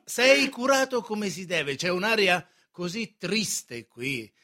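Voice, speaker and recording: Animated, high-pitched domineering uncle. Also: male voice, takes it slowly, crowd noise, heavily acted. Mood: hearty, imperious. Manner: emphatic, scolding, theatrical. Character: agitated, domineering middle-aged uncle from the country. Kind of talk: theatrical radio drama.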